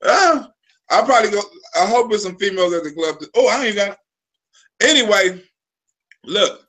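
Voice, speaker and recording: A man's voice: sits high, lively intonation, moderate pace, bright, forceful tone. A man in his forties hosting a live stream, telling loose, profane stories full of restarts. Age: 40s. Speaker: live show host. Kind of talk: casual live-stream chat.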